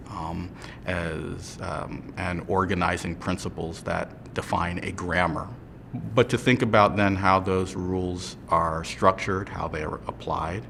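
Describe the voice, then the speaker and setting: A man's voice: low voice, creaky, a bit bright, a little hiss or hum. A man, a professor, thoughtfully explaining his teaching in an interview.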